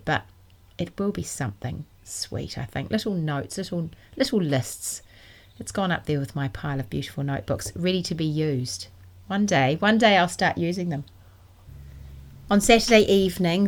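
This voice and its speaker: Low-voiced, slightly croaky chatty woman. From New Zealand. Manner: chatty and enthusiastic, self-deprecating. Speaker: knitting podcast host. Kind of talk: casual solo podcast chat.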